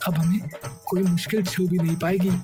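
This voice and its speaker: A man plays a plain-spoken, fretful village husband in a cartoon. He speaks haltingly and doubles back on his words. Music is clearly audible behind him. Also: high voice, flat delivery, natural voice. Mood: amazed. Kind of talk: cartoon story voice acting.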